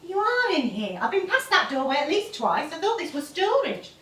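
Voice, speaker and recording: An actress playing a practical, protective grown daughter with a blunt, fussing manner in a stage play. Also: female voice, extremely high pitch, huge pitch swings, moderate pace, fairly bright tone, exaggerated voice. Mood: brisk, grumbling. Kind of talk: naturalistic stage play acting. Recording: roomy, distant stage recording, faint noise.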